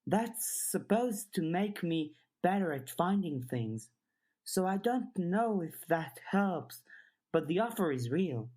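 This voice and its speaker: A woman's voice: fairly low pitch, big pitch swings, takes it slowly, warm tone, husky, heavily acted. A woman voicing an eager, earnest girl in an audiobook, chatty and questioning, a little tentative. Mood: hopeful. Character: eager, earnest girl who loves puzzles, overthinking. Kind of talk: audiobook character dialogue.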